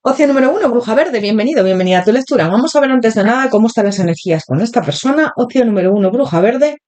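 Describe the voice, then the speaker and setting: Mellow-voiced Spanish woman reading tarot. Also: on the high side, animated. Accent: Spain Spanish. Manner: chatty, addresses viewers directly, many filler pauses. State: throat still sore after a cold. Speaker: tarot reader, channel host. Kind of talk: interactive tarot reading addressed to viewers.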